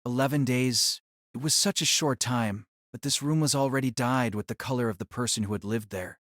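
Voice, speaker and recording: A man's voice: mid-range voice, fairly quick, fairly bright tone, dry recording, studio quality. A man narrating a scripted audio drama as a storyteller.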